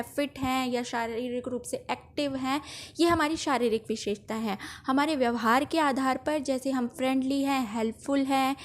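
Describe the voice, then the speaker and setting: A woman with an Indian accent explaining a school lesson step by step, in a teacher's didactic manner. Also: high-pitched. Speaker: home science teacher.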